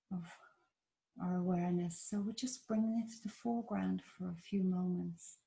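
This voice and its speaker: Slow, soothing Irish woman guiding meditation. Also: lowish voice, creaky, rough recording. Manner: slow, soft and soothing, drawing out phrases. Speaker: meditation teacher. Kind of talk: guided meditation.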